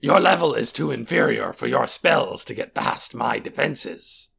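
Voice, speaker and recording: A man voicing a proud, calculating monstrous warrior in an audiobook, with a raspy, gravelly voice and a haughty, deliberate, formal way of speaking. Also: medium pitch, animated, fairly bright tone, full character voice. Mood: cold disdain. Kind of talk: audiobook character voice.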